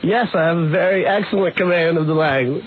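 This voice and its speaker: Male radio guest, high voice, slow blunt answers, phone-thin sound with hiss. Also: phone-quality sound. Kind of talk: radio call-in banter.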